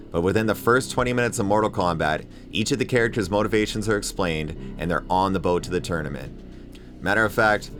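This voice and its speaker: A man delivering an opinionated, emphatic scripted video essay as host, with casual swearing. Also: low voice. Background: music bed under voice-over.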